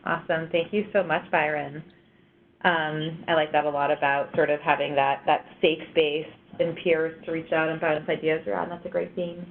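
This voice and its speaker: A woman's voice: low-pitched, level tone, moderate pace, slight background noise. A woman moderating a webinar, calling on speakers with upbeat brief prompts. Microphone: conference call line. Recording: phone-line webinar audio.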